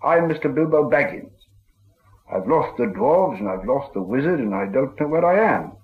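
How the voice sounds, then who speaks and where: A man's voice: mid-range voice, big pitch swings, normal speed, narrow, tinny audio, pitched above the performer's own voice, natural voice. A man voicing a frightened, polite small hobbit who is anxious to agree.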